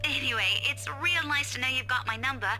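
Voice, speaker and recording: A woman's voice: sits high, a little fast, pushed and bright, faint music behind. A woman voicing a friendly young schoolteacher in a game, chatting in a breezy way. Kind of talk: game voice acting.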